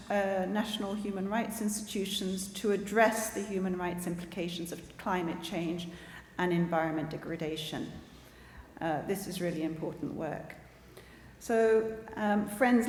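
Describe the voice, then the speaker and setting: A woman's voice: mid-range voice, a little mellow. Panelist woman, earnest formal speech, hall reverb. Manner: earnest, measured, with frequent restarts. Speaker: conference panelist. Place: large conference hall.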